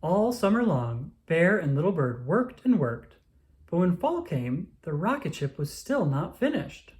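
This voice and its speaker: A storyteller reading a children's picture book aloud with expressive narration. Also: medium pitch, a little slow.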